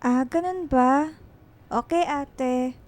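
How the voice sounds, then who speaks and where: A woman's voice: high voice, slow, darkish tone. A woman playing a cheerful, bashful sixteen-year-old little sister in a radio drama.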